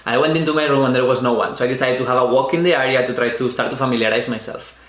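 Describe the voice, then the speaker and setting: A man's voice: mid-range voice, fairly bright tone, roomy sound. Spanish-accented young man telling his story. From Spain. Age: 20s. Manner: earnest, unhurried storytelling with small restarts. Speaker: vlogger. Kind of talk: personal storytelling.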